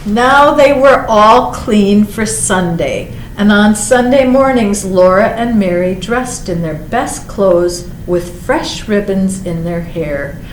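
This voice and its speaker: A woman reading a children's picture book aloud as a library storyteller, expressive, drawing out words and adding small asides. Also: mid-range voice, slow pace, hardly any pauses. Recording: roomy echo with faint background noise.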